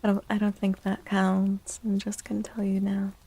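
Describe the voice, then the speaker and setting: A woman livestream host chatting in a soft, gentle ASMR style close to the mic, drifting now and then toward a breathy whisper. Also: mid-range voice, completely flat delivery, normal speed, dry recording. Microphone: close microphone.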